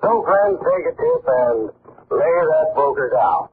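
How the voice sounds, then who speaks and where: A man's voice: on the high side, expressive, measured pace, slight background noise. A man giving folksy scripted household advice as an expert in a 1940s radio commercial, on an old muffled recording. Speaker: advertising spokesman, heating expert.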